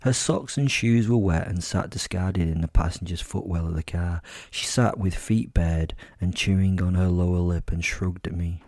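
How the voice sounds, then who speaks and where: A man's voice: low-pitched, moderate pace, few pauses, a little mellow, no room sound, clean studio sound. A man narrating a horror audio drama in the first person, with a wry, brooding manner.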